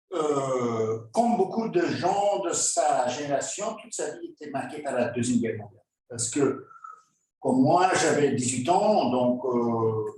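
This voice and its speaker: A man, giving a lecture as a guest speaker, halting, with frequent filler pauses and wry asides. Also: mid-range voice, slight background noise. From Belgium. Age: 50s. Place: lecture hall. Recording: very echoey hall from far off.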